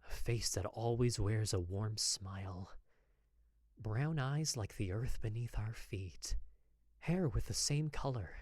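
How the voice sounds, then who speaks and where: Nervous lovestruck old-west apothecary, acted out, wildly varying pitch. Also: a little mellow, no room sound, clean studio sound. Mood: tender, smitten. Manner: hesitant, confessional, dramatic, with sighs. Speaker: voice actor. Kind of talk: dramatic first-person fiction narration, podfic.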